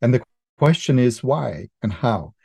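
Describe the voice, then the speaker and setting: Austrian man, dark dry voice. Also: mid-range voice, very animated, creaky, slightly rough, dry recording. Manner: thoughtful, halting, many restarts and fillers. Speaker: documentary filmmaker guest. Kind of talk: podcast interview.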